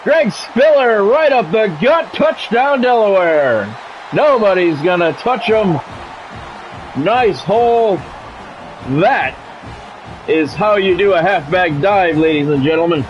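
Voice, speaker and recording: Higher-voiced man calling football, music behind. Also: expressive, slow pace, muffled recording. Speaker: game streamer calling sports play-by-play. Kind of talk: football play-by-play mixed with casual stream chat.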